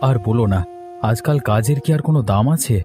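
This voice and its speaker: A troubled young husband, played by a man, talks earnestly in a cartoon drama. His voice is mellow and mid-pitched, with soft music underneath. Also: fast talker, close to an everyday voice. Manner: downcast, earnest. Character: dejected young husband who has lost his job. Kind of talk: Bengali cartoon story dialogue.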